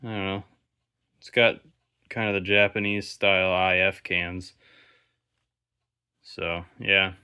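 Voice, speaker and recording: A man with a fairly deep voice talks through a hands-on electronics inspection. He is casual and unscripted, thinking aloud and wandering into musing asides as he goes. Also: steady tone, slow pace, pauses often, sharp tone, clean tone. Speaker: host of a vintage electronics inspection video. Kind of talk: casual, unscripted show-and-tell commentary.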